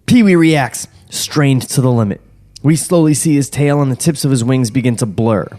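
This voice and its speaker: A man's voice: mid-range voice, moderate pace, warm tone, soft background music. A man hosting a podcast, reading a screenplay's stage directions aloud in a comedic table read and cracking jokes between lines. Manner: reads directions steadily, breaks off into jokes and laughter. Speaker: podcast host reading a script.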